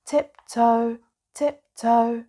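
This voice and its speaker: Slow-paced woman reading a children's storybook. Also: on the high side, steady tone, dark tone. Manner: slow, measured, dramatic storytelling for young children. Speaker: storybook narrator. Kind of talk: expressive read-aloud of children's bedtime stories.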